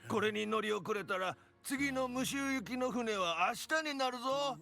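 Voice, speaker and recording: Old game ferryman, very high-pitched, creaky and sharp. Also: quick pace, heavily acted. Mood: urging. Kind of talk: Japanese video game voice acting.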